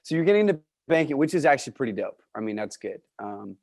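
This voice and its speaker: A man with a mid-pitched voice hosts a casual interview. He talks in slang and reacts in a playful, theatrical way. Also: sing-song, quick pace, gravelly, crowd noise. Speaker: interview host, realtor.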